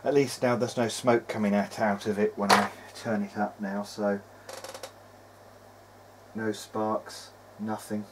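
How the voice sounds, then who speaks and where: A man giving casual running commentary as he tests electronics, muttering to himself in short, halting remarks. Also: on the low side, flat delivery. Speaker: hobbyist presenter of a video diary. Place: small cluttered indoor electronics workbench. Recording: clear background noise in workshop.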